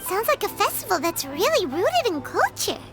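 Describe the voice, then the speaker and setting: Tiny cheeky fairy girl, extremely high, very animated, bossy anime game sidekick, loud music underneath. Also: normal speed, exaggerated voice. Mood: chatty, upbeat. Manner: chirpy, indignant, exclamatory. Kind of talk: anime video game voice acting.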